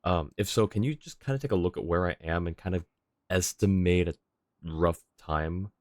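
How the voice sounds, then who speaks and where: A man's voice: sits low, moderate pace, darkish tone, no echo, clean studio sound. A man chatting casually on a game livestream, easygoing and polite, thinking aloud as he replies to viewers. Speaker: game streamer.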